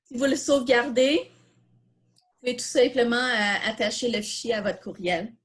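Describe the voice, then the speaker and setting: A woman's voice: a little high, fairly even intonation, moderate pace, breathy edge. A woman with a Quebec French accent hosting a live online coding workshop, informally thinking aloud and answering questions as she demonstrates. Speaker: workshop host.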